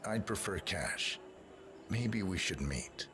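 An actor playing a cold professional assassin in a video game, speaking in a terse, deliberate deadpan. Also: male voice, deep voice, music in the background. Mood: cold, controlled. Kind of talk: scripted video game dialogue.

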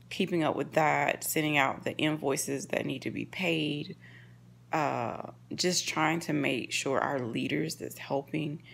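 Low-voiced woman vlogging at home. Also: creaky, fairly bright tone. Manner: chatty, loose, many fillers, addresses viewers as y'all. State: hot and tired after cleaning. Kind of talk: casual chat to camera. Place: home kitchen and living room, indoors. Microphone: phone camera held close.

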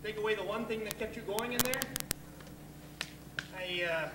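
A man on stage playing a laconic, evasive blues musician, the deadpan sidekick in a comedy. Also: sits high, moderate pace, natural voice. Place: theater stage, recorded from the audience. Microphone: far camera. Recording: echoey theater hall, distant noisy camera mic.

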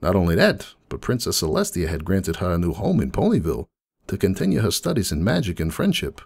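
A man's voice: sits low, wildly swooping pitch, fairly quick, creaky, husky, exaggerated voice. A man voicing a friendly, nostalgic unicorn donut shop owner in a dramatic fan fiction reading, chatty and folksy like someone reminiscing. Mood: proud, nostalgic.

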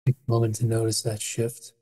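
A meditation guide with an adult voice, leading a body scan in a calm, unhurried, gentle way with pauses. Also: mid-range voice, husky.

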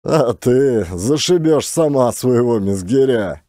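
Guy doing rough bold peasant man in an audiobook, goading rustic banter, very animated pitch. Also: takes it slowly, no room sound, clean studio sound. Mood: mocking. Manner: goading, folksy rustic speech. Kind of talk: Russian audiobook character acting.

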